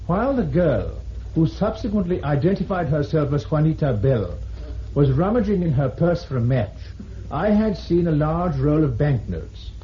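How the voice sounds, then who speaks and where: A man playing a formal British Crown Prosecutor in a vintage radio drama, reading aloud to a court in a declamatory way. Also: a little high. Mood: grave. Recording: muffled old radio recording, faint hiss.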